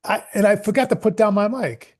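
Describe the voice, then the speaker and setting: A middle-aged or older man rambling casually as an online radio host, halting and repeating words, often chuckling. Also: high voice. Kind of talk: casual rambling livestream talk.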